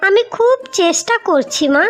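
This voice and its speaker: A woman's voice: very high voice, animated, normal speed. A woman voicing a meek young village daughter-in-law in a cartoon story, politely pleading. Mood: hurt pleading. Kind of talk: Bengali cartoon moral story acting. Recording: music behind the voice.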